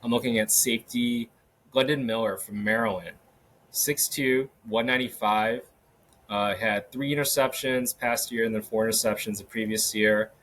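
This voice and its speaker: A man hosting a solo sports podcast, talking casually with many fillers and restarts, his voice a little hoarse. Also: fairly low pitch, fairly even intonation, moderate pace, a bit bright, poor audio. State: slightly hoarse. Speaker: podcast host. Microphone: podcast microphone.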